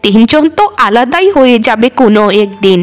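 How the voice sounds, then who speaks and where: A woman's voice: on the high side, expressive, brisk, close to an everyday voice. A woman voicing an elderly cartoon mother-in-law, weary and scolding, with a lamenting, nagging way of talking. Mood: scolding, exasperated. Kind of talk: Bengali cartoon voice acting.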